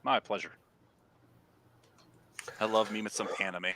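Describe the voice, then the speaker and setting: An easygoing man co-hosting a casual voice chat, speaking softly. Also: fairly low pitch, fairly even intonation, a little slow, background noise.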